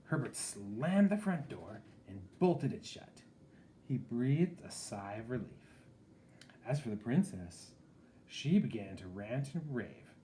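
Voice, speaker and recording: A man's voice: mid-range voice, sing-song, slow pace, mellow, slight background noise, low-quality recording. A man reading a picture book aloud expressively, with casual asides to a young listener.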